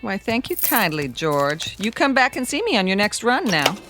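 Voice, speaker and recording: An actress playing a friendly, teasing roadside diner waitress in a radio drama, with folksy, breezy, flirty small talk. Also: female voice, medium pitch, very animated, normal speed, over music, natural voice.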